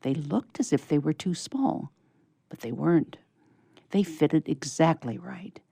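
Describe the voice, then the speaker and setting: A woman reading a children's story aloud as expressive storybook narration. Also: sits low, measured pace, creaky, husky, dry recording, clean studio sound. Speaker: story reader.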